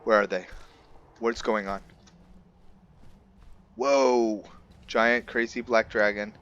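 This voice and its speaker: A man's voice: on the low side, fairly even intonation, takes it slowly, fairly bright tone, smooth, highs cut off. A man giving casual, rambling gameplay commentary, chatting informally to his viewers as the host. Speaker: gaming video host.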